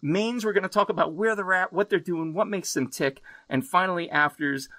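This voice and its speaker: This is an exuberant man from the United States hosting a podcast, his voice bright and sharp and sitting a little high. He chats casually and swears freely. The sound is dry, as over a remote call. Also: fairly quick, no room sound. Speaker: podcast host. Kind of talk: casual interview chat. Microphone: remote video call.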